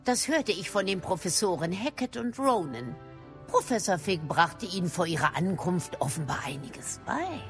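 An actress playing a shrewd middle-aged female professor in a German audio drama, speaking formally and crisply with a mildly probing manner. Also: fairly low pitch, sing-song, moderate pace, soft background music. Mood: probing, dry.

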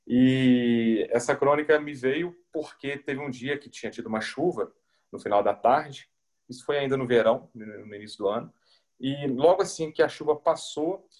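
A man of middle pitch hosts a podcast from his home. He talks reflectively, pausing often with small hesitations. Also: darkish tone. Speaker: podcast host. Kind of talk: casual podcast chat.

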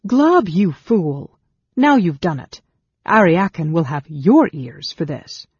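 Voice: mid-range voice, lively intonation, a little slow, mellow, breathy edge, dry recording, muffled recording, pitched above the performer's own voice. A narrator voicing a bold, cool woman warrior who speaks in a commanding, scornful manner in a fantasy storybook. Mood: snarling, grim.

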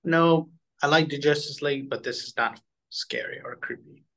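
A man co-hosting in casual chat, tossing in short, jokey reactions and quick to laugh. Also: a little high, wildly swooping pitch, a bit bright. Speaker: co-host of a cartoon countdown show.

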